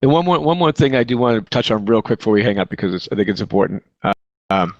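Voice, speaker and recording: A man's voice: fairly low pitch, fast talker, darkish tone, no room sound, highs cut off. A man with a Boston accent, a real estate agent speaking earnestly at a conference session, repeating words for emphasis. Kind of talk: conference stage talk.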